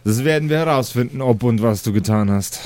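A man's voice: medium pitch, a little fast, a little mellow, lightly acted. A man voicing a stern, unyielding paladin guard in a fantasy game, speaking in a formal, reproving way.

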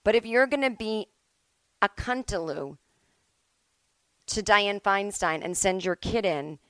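A middle-aged woman rants casually into a close, dry recording. Her voice sits at an ordinary pitch for a woman, and she speaks bluntly and emphatically, swearing freely as she gives her opinions. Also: a little slow, a bit bright, no echo. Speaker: solo podcast host. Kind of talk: casual opinionated monologue, ranting. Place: at home.

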